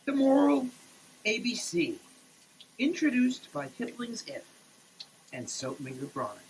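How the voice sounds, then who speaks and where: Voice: medium pitch, big pitch swings, a little slow, a little hiss or hum, poor audio. A person giving a theatrical, declamatory dramatic reading of printed text. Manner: theatrical, declamatory, with muttered asides. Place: shower.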